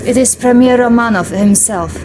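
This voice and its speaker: An actress playing a poised, efficient Soviet lieutenant, formal and businesslike, in a game briefing. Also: female voice, fairly high pitch, normal speed, noisy background, close to an everyday voice. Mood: crisp, dutiful. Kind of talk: video game briefing acting.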